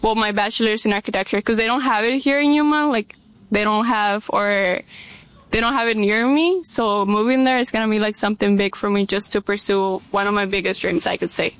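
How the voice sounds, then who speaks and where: A woman's voice: medium pitch, a little fast, clean tone, dry recording. A young woman, an international student answering hesitantly, searching for words. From Mexico. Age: young adult.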